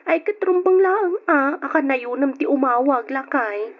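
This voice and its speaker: High-voiced, affectionate young wife. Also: female voice, lively intonation, measured pace, slight background noise. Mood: reassuring. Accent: Filipino. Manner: fond, gently chiding. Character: affectionate, practical young wife and expectant mother. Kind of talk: old-style radio drama acting. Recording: dull, muffled old radio drama recording.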